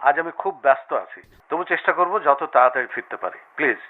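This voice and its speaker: A man's voice: fairly high pitch, animated. A male actor playing a busy, brusque husband over the telephone in a radio drama. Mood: defensive. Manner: brisk, dismissive. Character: busy, brusque husband placating his wife by phone. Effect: telephone filter. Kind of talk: Bengali radio drama acting. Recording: thin, narrow telephone sound.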